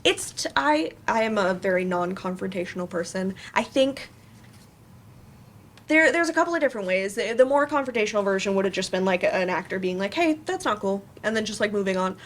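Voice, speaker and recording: A woman talking casually as a panelist, in a halting and informal way. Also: medium pitch, expressive. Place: live panel event in a room. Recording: faint room noise.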